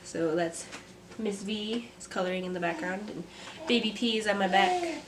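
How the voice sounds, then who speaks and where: A young woman, a mother and artist, talking to camera from a script in a soft, reflective vlog; she sounds stuffy and congested. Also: mid-range voice, expressive, moderate pace, background noise. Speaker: vlogger, artist. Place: home. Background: kids playing.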